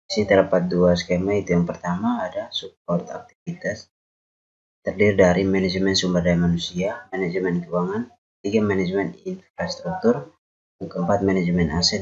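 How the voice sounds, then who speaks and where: A young man listing points in a scripted student slide presentation. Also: sits low. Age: young adult. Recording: dull, lo-fi sound.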